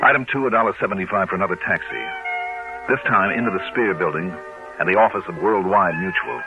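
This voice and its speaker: A man's voice: deep voice, fast. An actor playing a shrewd American insurance investigator who narrates his case in clipped hard-boiled style, in an old radio drama. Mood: brooding narration. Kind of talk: 1950s radio detective drama acting and narration. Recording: muffled old radio recording, loud music.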